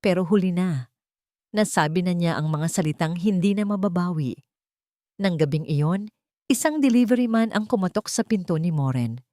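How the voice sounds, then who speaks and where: A woman reads a dramatic story as an audiobook narrator. Her pitch is on the low side and the recording is very dry. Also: expressive, moderate pace, warm tone, no echo.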